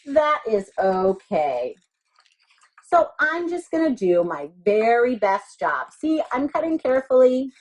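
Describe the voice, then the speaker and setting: A woman's voice: on the high side, very animated, unhurried, darkish tone, rough recording. A woman teacher giving step-by-step craft instructions to young children, speaking simply and encouragingly with repeated reminders. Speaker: elementary school teacher.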